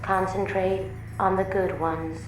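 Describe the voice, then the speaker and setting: Woman as an echoing, monotone alien zoo guide. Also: mid-range voice, close to an everyday voice. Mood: detached calm. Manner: deadpan, unemotional, measured. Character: serene, detached alien zoo guide from another planet. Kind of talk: radio drama acting. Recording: echoing voice over prominent music.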